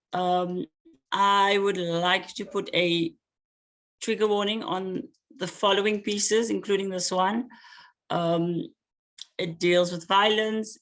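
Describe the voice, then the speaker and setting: Man reading poetry slowly. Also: sits high, a bit bright, rough recording. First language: Afrikaans. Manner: slow, measured recitation with hesitant asides between pieces. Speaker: poet.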